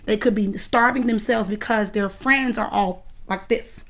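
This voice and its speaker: A woman's voice: mid-range voice, steady tone, measured pace, a bit bright. A woman in her thirties, a dance coach, answering interview questions in an earnest, emphatic and protective way. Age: 30s.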